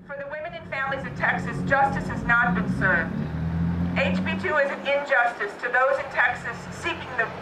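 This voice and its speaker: High-voiced woman declaiming at a vigil. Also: a little fast. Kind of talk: public speech. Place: outdoor evening vigil. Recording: echoey, with loud ambient noise.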